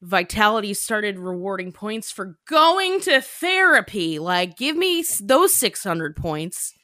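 A woman's voice: medium pitch, sing-song, slow, pushed and bright, no echo, studio quality. A young woman hosting a podcast in casual chat, chatty, laughing and trailing off into asides. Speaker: podcast host.

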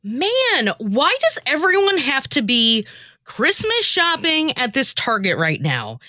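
A bright, expressive actress as an exasperated, easily riled woman in a homemade comic radio play. She talks in slangy, theatrical exclamations with very lively pitch. The sound is close and dry. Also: takes it slowly, no echo, heavily acted. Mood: indignant, exasperated. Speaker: podcast host and scriptwriter.

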